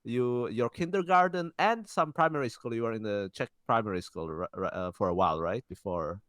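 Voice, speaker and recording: A young man co-hosting a podcast, speaking casually in halting sentences with many restarts and fillers. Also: mid-range voice, a bit bright, dry recording, clean studio sound. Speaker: podcast co-host. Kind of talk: casual conversational chat.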